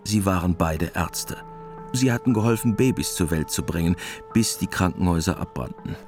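A man narrating a literary war story in a radio play, measured, detached and matter-of-fact. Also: low-pitched, natural voice. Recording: music under the narration.

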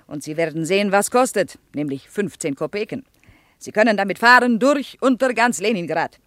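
Actress as Soviet woman interpreter, native Russian, halting foreign syntax, guarded and polite, very animated pitch, dry radio drama sound. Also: dry recording, lightly acted. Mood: firm, polite. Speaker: interpreter and guide. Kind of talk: German radio drama dialogue.

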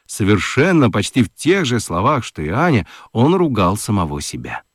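A man narrating a scripted children's story for a radio play, expressive and fond of dramatic pauses. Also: on the low side, slightly rough, dry recording, clean studio sound.

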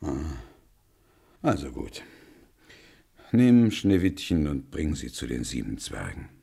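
An actor playing a timid, wavering huntsman in a radio play, husky and gravelly, with a hesitant, servile manner. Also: very deep voice, very animated, takes it slowly, dark tone, full character voice. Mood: resigned. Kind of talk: German radio play acting.

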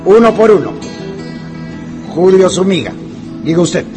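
A man's voice: high-pitched, a little slow, music in the background. A man playing a stern, formal schoolteacher in a radio drama, with an authoritarian way of addressing pupils. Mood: instructive. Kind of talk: Spanish-language radio drama acting.

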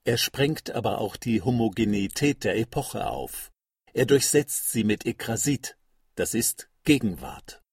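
A man giving a scripted literary reading of quotations in a measured, declarative manner. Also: on the low side, lively intonation, darkish tone, breathy edge. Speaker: reader of quotations. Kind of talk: literary reading of quoted texts.

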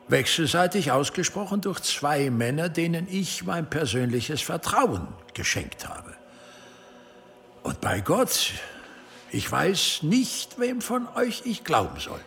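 An actor playing an archbishop who sits as judge in a historical radio drama, grave, weighty and commanding. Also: male voice, a little high, vocal fry, a bit bright, heavily acted. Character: solemn, authoritative archbishop sitting as judge.

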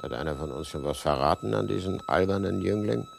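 Deep, gravelly, sly suspect. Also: male voice, lively intonation, brisk, heavily acted. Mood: sardonic, defiant. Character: sly, guarded male shopkeeper and murder suspect. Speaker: radio drama actor. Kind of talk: 1980s German radio drama acting.

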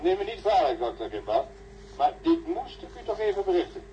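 A man's voice: high-pitched, wildly swooping pitch, a little fast. A man playing an apologetic, urgent assistant calling through an intercom in a science-fiction radio play. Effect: intercom or telephone filter. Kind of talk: radio drama acting. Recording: muffled filtered call, faint noise.

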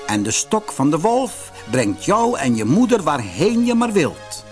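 A man's voice: fairly high pitch, huge pitch swings, unhurried, a little mellow, faint music behind. A man voicing a kindly, earnest good fairy in a storybook, solemn and reassuring. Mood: kind, encouraging. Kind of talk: fairy-tale storybook reading.

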